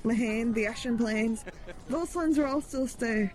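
A woman's voice: fairly high pitch, measured pace, a little mellow, close to an everyday voice, low-quality recording. A woman playing a determined, pragmatic fantasy hero in an audio drama, earnest and insistent. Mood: resolute. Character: determined, pragmatic female fantasy hero urging on a despairing comrade.

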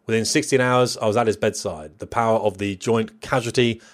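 A middle-aged man narrates his own written memoir in a fairly low voice. His delivery is measured and reflective, like someone telling a considered life story from a prepared script. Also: fairly even intonation.

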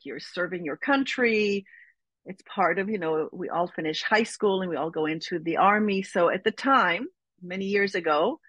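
A woman's voice: fairly low pitch, fairly bright tone, no room sound. A middle-aged woman from Israel, a spiritual teacher speaking as an interview guest in an earnest, reflective way. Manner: earnest, reflective, slow with emphatic phrasing. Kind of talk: interview conversation.

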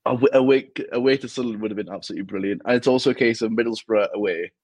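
Young Geordie bloke, podcast co-host, low-ish voice, dry close mic, chatty match analysis. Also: male voice, racing, darkish tone, no echo. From Newcastle, England. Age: young adult. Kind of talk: casual football podcast chat.

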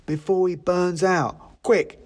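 Man doing a high, chanting little mouse elf. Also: fairly even intonation, slow, a little mellow. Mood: urgent. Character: small eager male mouse elf, chanting rhymes. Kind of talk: storybook character voice.

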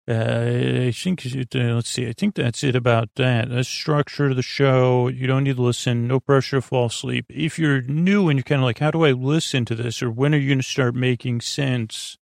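Creaky-voiced man rambling gently for bedtime. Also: steady tone, normal speed, hardly any pauses, dry recording, clean studio sound. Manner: meandering, hesitant, tangential; low-pressure, reassuring. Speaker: podcast host. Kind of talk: rambling bedtime sleep podcast monologue.

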